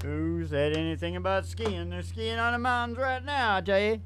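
An older man telling casual stories in an animated, folksy, joking way. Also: sits high, normal speed. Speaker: podcast host and musician. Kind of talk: casual stage banter. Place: small curtained stage, indoors. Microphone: stage microphone on stand.

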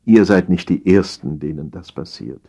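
A man voicing a patient, solemn diet lecturer in a comic audiobook, speaking in a measured, fatherly way. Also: low-pitched, creaky, dark tone, husky, dull audio, full character voice. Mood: fatherly consoling. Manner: paternal, measured, soothing.

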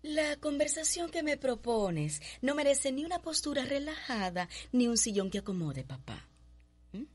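An actress playing a proud, defiant young upper-class daughter in a Cuban radio drama, speaking with cold, formal irony and a Cuban accent. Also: female voice, on the high side. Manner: haughty, formal, clipped irony.

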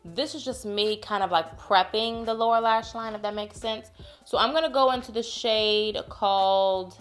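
A woman's voice: mid-range voice, moderate pace, soft background music. A woman, chatting playfully through a makeup tutorial, sounding a bit congested. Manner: chatty, playful, addresses viewers as y'all. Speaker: beauty vlogger. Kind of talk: casual makeup tutorial chat. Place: home room, indoors.

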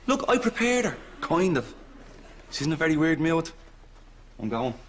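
A man's voice: on the high side, big pitch swings, normal speed, crowd noise. A man playing a patient, awkward middle-aged son with a Dublin accent in a television sitcom. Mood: serious. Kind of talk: naturalistic sitcom acting. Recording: studio audience behind dialogue.

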